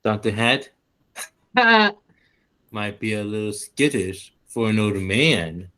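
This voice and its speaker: Man acting slow-spoken, bashful middle-aged toy salesman. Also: fairly low pitch, sing-song, bright, forceful tone, heavily acted. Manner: hesitant, measured. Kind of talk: 1940s radio play acting.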